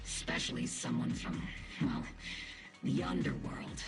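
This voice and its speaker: A woman's voice: a bass voice, unhurried, gravelly, exaggerated voice. A woman playing a stern, skeptical military squad captain in a video game, curt, commanding and guarded. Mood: cool, guarded. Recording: game music behind the voice.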